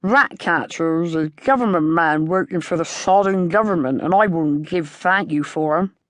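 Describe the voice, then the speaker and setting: A woman narrator plays a squat, older countryman in an audiobook story. He is gruff and hostile, cursing, and pushes his words out loudly in a dry, close recording. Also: lowish voice, dry recording, close to an everyday voice. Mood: grumbling, defiant. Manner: gruff rustic talk, slow and hostile, cursing. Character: surly, hostile, short squat older male farmer.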